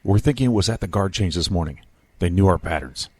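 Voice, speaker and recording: Man playing a deep, stern military commander. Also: expressive, quick pace, a little mellow, husky. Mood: stern, urgent. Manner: terse, authoritative. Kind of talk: audio drama acting.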